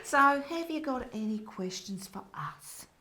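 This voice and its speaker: An actress plays a bossy female board director of heaven in a stage comedy. She speaks in a fairly high voice at a very slow overall pace, leaning on words and repeating them theatrically. Some faint noise sits in the recording. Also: lively intonation, pushed and bright, exaggerated voice. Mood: brisk, chatty. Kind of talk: comedy stage play acting.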